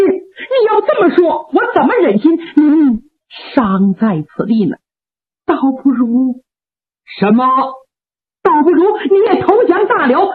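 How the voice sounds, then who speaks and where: A woman voicing a young male warrior who pleads with his father through tears, heard through a tinny radio recording. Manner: weeping, pleading, high-strung.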